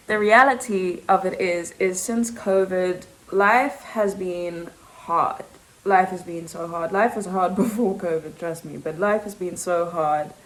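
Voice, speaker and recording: A young woman with a South African accent that leans British, talking fast and rambling in a casual, confessional vlog, breaking off with laughs. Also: fairly low pitch, rough recording. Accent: South African English with a British touch. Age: young adult. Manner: fast, rambling, self-interrupting, laughs often. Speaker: vlogger. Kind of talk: casual confessional chat to camera.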